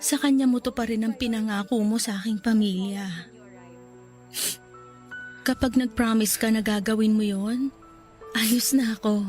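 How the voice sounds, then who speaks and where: A woman playing a gravely ill young woman in a sentimental radio romance drama, loving, earnest and resigned to dying. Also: on the high side, steady tone, a little slow, pauses often, darkish tone, close to an everyday voice. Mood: resigned, pleading. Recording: music under the voice.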